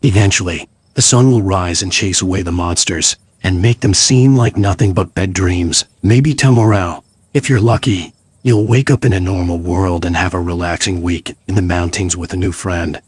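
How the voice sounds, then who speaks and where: A man's voice: low-pitched, normal speed, slightly rough. A man reading scripted second-person story narration for a game.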